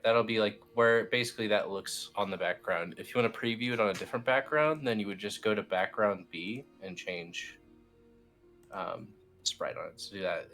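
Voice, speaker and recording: A man with a low voice guides someone through software one click at a time. His delivery is informal and a bit hesitant, like a colleague instructing over a screen. Faint music plays underneath. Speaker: team lead explaining software steps. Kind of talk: casual step-by-step screen tutorial.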